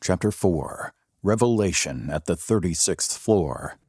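A man's voice: sits low, animated, measured pace, darkish tone, husky, dry recording, studio quality. A man narrating an audio drama in a scripted, storytelling style.

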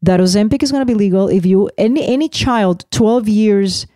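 A woman from Puerto Rico co-hosting a casual chat podcast, impassioned and talking in rapid bursts. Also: medium pitch, dark tone, dry recording, clean studio sound. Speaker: podcast co-host.

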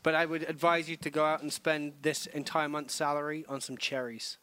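Male co-host, playful and opinionated, bright smooth tone, dry mic. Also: a little high, level tone, fairly quick, no echo. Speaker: podcast co-host. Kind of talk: casual chat.

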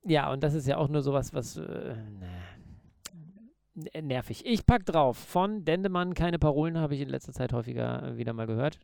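Gravelly, mellow-voiced man chatting casually. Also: mid-range voice, expressive, fairly quick, no echo, clean studio sound. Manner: loose, joking banter. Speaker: podcast co-host. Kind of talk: casual chat.

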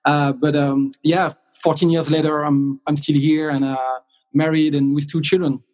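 A man's voice: a little high, flat delivery, moderate pace, a little mellow. A middle-aged man with a French accent, speaking conversationally as an interview guest. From south of France. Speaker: sales director, podcast guest.

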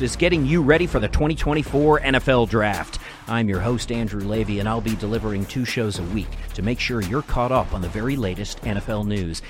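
A man's voice: mid-range voice, fairly bright tone. A man hosting a sports podcast reads a scripted promo.

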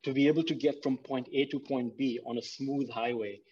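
A man's voice: mid-range voice, fairly even intonation, brisk, darkish tone, breathy edge. Earnest man talking in restarts, muffled. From Pakistan. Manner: earnest, repeats words while thinking. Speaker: podcast guest. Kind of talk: casual conversation.

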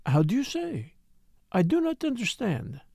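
A woman's voice: a little high, wildly swooping pitch, unhurried, warm tone, slightly rough, no room sound, voice pushed up, heavily acted. A coquettish, mercenary French dancer, as a woman narrator acts her out, speaks in a lifted, swooping voice. Her French-accented delivery is languid and teasing, close and dry as in an audiobook. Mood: musing, covetous. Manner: languid, teasing, non-native phrasing with French endearments.

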